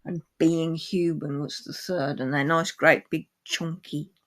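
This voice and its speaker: A woman's voice: low voice, fairly even intonation, a little slow. A woman talking about books and poetry informally, as a reader and guest. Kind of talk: poem reading and informal book chat.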